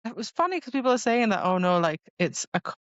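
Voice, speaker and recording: A woman's voice: medium pitch, sing-song, fast talker, no room sound, lo-fi sound. A woman co-hosting a book podcast, chatting casually in loose, informal phrasing. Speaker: podcast co-host. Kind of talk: casual book discussion chat.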